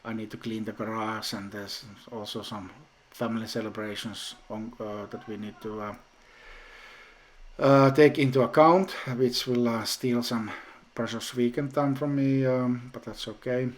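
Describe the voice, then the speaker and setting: A man whose native language is Finnish, talking casually in a home brewing vlog update, with many pauses and restarts. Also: medium pitch, fairly even intonation. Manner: slow, hesitant, many ums and restarts.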